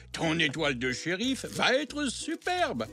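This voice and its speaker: A man's voice: fairly high pitch, heavily acted. A man voicing a kindly, reassuring zebra grandfather in a French children's cartoon. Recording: music behind.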